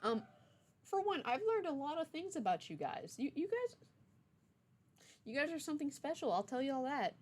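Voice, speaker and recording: A woman's voice: high voice, big pitch swings, moderate pace, pauses often. A young adult woman streamer chatting casually with her viewers in a playful, teasing, mock-stern way. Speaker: streamer, game writer. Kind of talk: casual livestream chat.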